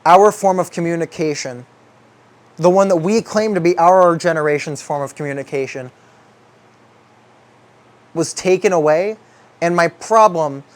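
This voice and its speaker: A young man with a slightly high voice talks through his thoughts in a loose, reflective monologue. His delivery is halting, and he stretches out words when he wants to stress them. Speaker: video essayist.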